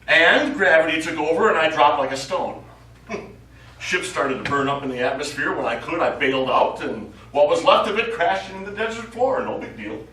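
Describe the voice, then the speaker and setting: A wry, nonchalant astronaut played by a man on stage. His voice is fairly high and bright, heard from a distance in an echoey room with faint noise. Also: animated, normal speed. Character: nonchalant, wry male astronaut tending the ship. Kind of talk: stage acting.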